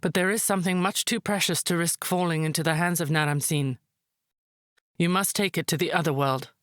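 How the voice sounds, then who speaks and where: A woman playing a proud, resolute high priestess, formal and composed, in a visual novel drama. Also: steady tone, fairly quick, fairly bright tone, no echo, studio quality, natural voice. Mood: urgent, commanding.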